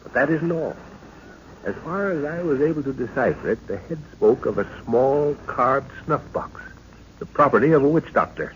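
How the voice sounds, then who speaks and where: A man playing a middle-aged professor and father leading an expedition, measured and authoritative, in old-time radio drama style. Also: mid-range voice, expressive, lightly acted. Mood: explaining. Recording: muffled, noisy old radio recording.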